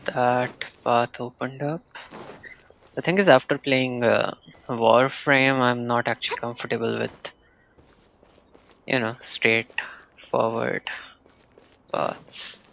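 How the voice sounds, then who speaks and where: Male game streamer, slow and halting, gravelly tone, faint game music behind. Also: mid-range voice, fairly even intonation, fairly bright tone, low-quality recording. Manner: slow, hesitant, murmuring to himself with fillers. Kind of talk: casual live gameplay commentary.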